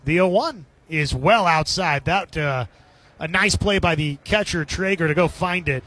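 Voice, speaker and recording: A man calling baseball play-by-play as live radio sports commentary, with a husky edge to his voice. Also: fairly high pitch, a little slow, fairly bright tone, no echo.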